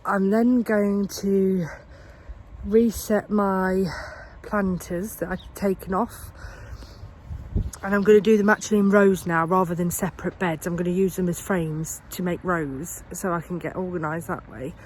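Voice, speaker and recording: A woman's voice: medium pitch, fairly even intonation, measured pace, darkish tone, a little hiss or hum, rough recording. A woman in her thirties with a cold, chatting casually on her allotment as she plans her gardening jobs, with lots of ums and restarts. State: has a cold. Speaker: gardening vlogger. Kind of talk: casual vlog chat. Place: outdoors on an allotment.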